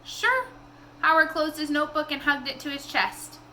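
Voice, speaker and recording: A woman reading a children's novel aloud in an expressive storytelling style. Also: high-pitched, a little fast, a little hiss or hum.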